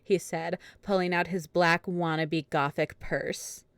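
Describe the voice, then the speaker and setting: A woman with a low voice narrates a fan fiction story. She reads the absurd text straight-faced, in a dry, close sound. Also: steady tone, takes it slowly, dry recording. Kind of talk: dramatic reading of fan fiction.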